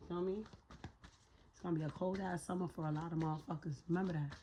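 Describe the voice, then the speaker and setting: A low, husky-toned woman whose voice is hoarse and raspier than usual. She gives a loose, slang-heavy tarot reading, swearing freely and thinking out loud. Her tone is dark and mellow under the rasp. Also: fairly even intonation, quick pace, a little hiss or hum. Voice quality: raspy. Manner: talks to spirit while pulling cards. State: hoarse, voice a little shot. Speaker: tarot reader. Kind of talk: casual tarot reading to viewers.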